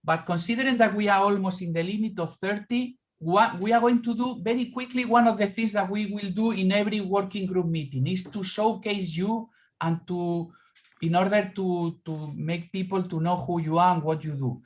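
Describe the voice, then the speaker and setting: A man moderating an online meeting over a video call, halting and repeating words as he calls on participants. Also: high-pitched.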